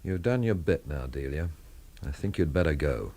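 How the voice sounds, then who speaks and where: A man's voice: very deep voice, expressive, moderate pace, mellow, heavily acted. An actor playing a cool, wry man in a radio drama, with a dry, understated and unruffled delivery.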